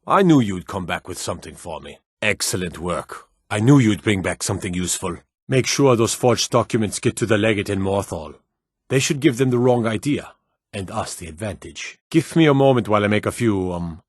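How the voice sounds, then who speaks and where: An actor playing a stern, battle-hardened soldier in a fantasy war video game, with earnest, commanding, formal delivery. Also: male voice, fairly low pitch, slightly rough, dry recording, studio quality. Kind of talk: scripted video game voice acting.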